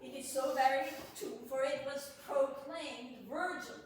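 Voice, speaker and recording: Woman as a pompous shakespeare lord, highish voice, declaiming, super echoey and far from the mic. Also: unhurried. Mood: stern, amused. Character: lordly male courtier, mocking, declaiming pompous letters. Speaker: amateur stage actor. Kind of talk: Shakespearean comedy staged reading. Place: echoey room, distant mic at live stage reading. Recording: very echoey hall, distant mic, faint noise.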